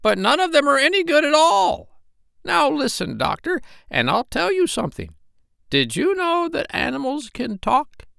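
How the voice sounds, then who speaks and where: A man voicing a bossy, clever female parrot in a shrill, high voice for a children's storybook. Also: wildly swooping pitch, normal speed, vocal fry, bright, forceful tone, dry recording, clean studio sound, full character voice. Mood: proud, insistent. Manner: emphatic, instructing.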